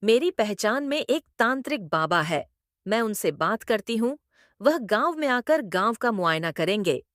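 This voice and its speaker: A woman's voice: a little high, very animated, a little slow, a bit bright, dry recording, clean studio sound. A woman playing a reasonable, apologetic village headwoman in a dramatized story. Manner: explaining, apologetic.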